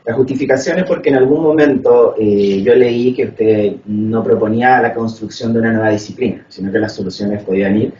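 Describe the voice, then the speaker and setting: A man's voice: on the low side, fairly even intonation, dark tone. A man from Chile puts a long, structured question to a speaker at a conference. He talks methodically and leans on "eh" as he lays out his points. He is recorded from across an echoey hall, a little dull and lo-fi, with faint room hum behind him. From northern Chile. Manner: measured, methodical, frequent "eh" fillers. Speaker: audience member asking a question. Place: lecture hall. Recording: echoey, distant, dull lo-fi sound.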